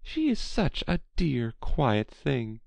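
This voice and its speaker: A woman's voice: on the high side, expressive, slow, a little mellow, dry recording, pitched above the performer's own voice. A woman voicing a polite, chatty little girl in a children's storybook, earnest and apologetic as she rambles on. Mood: soothing, chatty.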